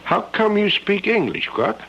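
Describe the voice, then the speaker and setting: A decent, stubborn male farmer for a radio play. He talks bluntly and plainly in a raspy, creaky voice with lively swings of pitch. The sound is dull and lo-fi. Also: unhurried, heavily acted. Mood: wary, plain. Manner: blunt, folksy, plain speech. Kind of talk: radio drama acting. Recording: dull lo-fi old radio recording.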